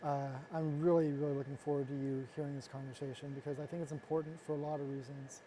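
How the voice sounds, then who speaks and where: A man from the Los Angeles area hosting a casual interview, talking earnestly and haltingly with restarts. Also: a little high, little pitch movement, dark tone, slight background noise, poor audio. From United States, Los Angeles area. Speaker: host, interviewer.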